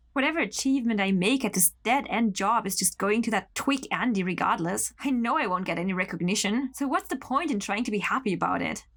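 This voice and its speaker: A woman's voice: mid-range voice, lively intonation, brisk, a bit bright. A woman playing a jaded, resentful young office worker in an audio horror drama. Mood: tender, bitter.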